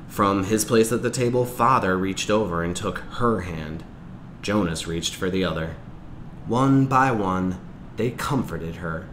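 A man reading a novel aloud as a teacher, in measured, expressive storytelling narration. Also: low-pitched. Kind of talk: audiobook-style read-aloud narration. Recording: clear background noise.

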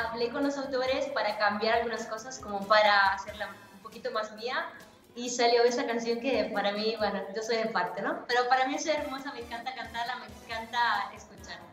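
Woman on an echoey video call. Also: a little high. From Italy. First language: Italian. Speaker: guest singer. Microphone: laptop video call. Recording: roomy video call audio.

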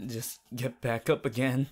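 A man playing a shy, awkward, lovestruck teenage anime boy hero in a halting, stammering romantic roleplay addressed to the listener. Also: medium pitch, level tone, natural voice. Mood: tender, happy. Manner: halting, stammering, flustered, giggly.

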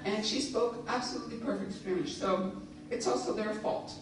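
A woman's voice: medium pitch, moderate pace, noisy background. Woman lecturing in an echoey hall. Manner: conversational, reflective, with frequent fillers. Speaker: author giving a lecture. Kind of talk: public lecture and reading. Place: lecture hall, standing at a podium. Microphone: podium mic on a stand. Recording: echoey hall, recorded from a distance.

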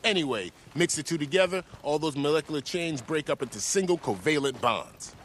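A manic camp chemist in a video game. His voice sits fairly high and swoops excitedly with a bright, forceful edge. He shows off with gleeful technical patter. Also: a little fast, a little hiss or hum. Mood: lecturing.

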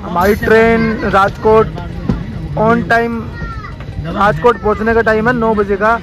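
A man's voice: high-pitched, level tone, slow pace, music in the background, rough recording. A young man casually narrating his train journey as a travel vlogger, chatty and full of updates. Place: on a train and station platforms. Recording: crowded train station noise.

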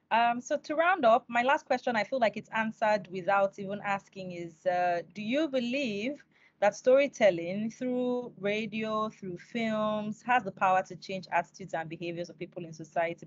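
A woman's voice: mid-range voice, no room sound, muffled recording. Young woman, dry close sound. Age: young adult. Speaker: interview host. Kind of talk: conversational video interview. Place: small indoor room, on a video call.

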